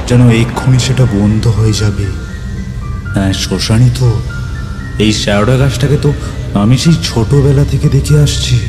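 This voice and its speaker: A man narrating a ghost story in the first person, in a dramatic, suspenseful way with deliberate pauses. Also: medium pitch. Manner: suspenseful, theatrical, with deliberate pauses and repeated words. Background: music.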